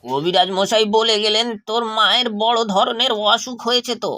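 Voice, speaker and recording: A man's voice: high voice, fairly quick, pushed and bright. A man acting a poor, troubled village husband and father in a cartoon, fretful and lamenting. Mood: distraught, sad.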